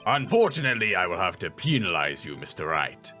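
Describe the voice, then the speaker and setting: A man plays a solemn older judge in a courtroom video game. The voice is low and forceful, formal and stately, over music. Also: very animated, brisk, full character voice. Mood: stern, formal.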